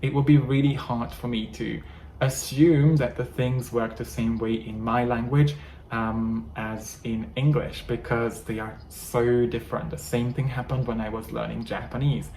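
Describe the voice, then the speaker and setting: A man's voice: mid-range voice, fairly even intonation, a little slow, dark tone. Hungarian-accented man coaching English learners. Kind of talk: talking-head teaching video. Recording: echoey room, faint background noise.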